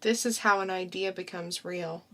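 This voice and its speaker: A calm, reflective narrator recalling the day she was born, read by a woman in her own voice. The narration is measured and set in a bright tone. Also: on the low side, slight background noise, natural voice. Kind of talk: amateur dramatic reading.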